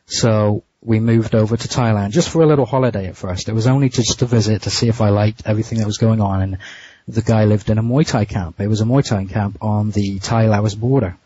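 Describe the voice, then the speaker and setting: Low husky guy in an interview, stops and restarts a lot, dull dry sound. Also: fairly even intonation, dark tone, dry recording. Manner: halting, many restarts and repeats. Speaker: interview guest. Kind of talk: casual interview talk. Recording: muffled dry lo-fi line.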